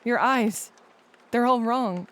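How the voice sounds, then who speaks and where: A woman's voice: a little high, slow pace. A woman acting a distraught, desperate patient trapped in a virtual reality, pleading and accusing, in an audio drama. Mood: hurt, accusing.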